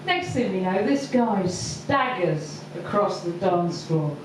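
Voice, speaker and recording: A woman's voice: medium pitch, expressive, background noise. Hesitant woman telling stories in an echoey room. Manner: hesitant, lots of ums, slow deliberate delivery. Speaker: stand-up comedian. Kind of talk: stand-up storytelling. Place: comedy venue with audience laughter. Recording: echoey far-off live room recording.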